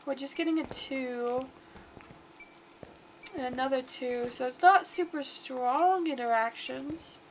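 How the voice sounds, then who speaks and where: A woman's voice: high-pitched, takes it slowly, long pauses, slight background noise, poor audio. A congested woman livestreaming, chatting casually and rambling through gameplay commentary. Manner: chatty and rambling, talks to the game aloud.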